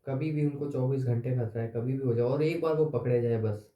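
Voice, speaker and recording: A young man hosting his channel in a relaxed, chatty way, thinking aloud with pauses, his voice slightly hoarse. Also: medium pitch, muffled recording. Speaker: YouTube channel host. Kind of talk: casual chat to camera. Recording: echoey room.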